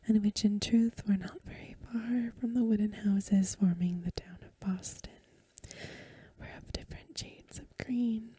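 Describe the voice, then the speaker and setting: Slow, breathy woman whispering softly. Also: mid-range voice, no room sound. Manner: hushed, gentle, unhurried. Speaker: host of an ASMR channel, academic reader. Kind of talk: soft-spoken ASMR talk and story reading.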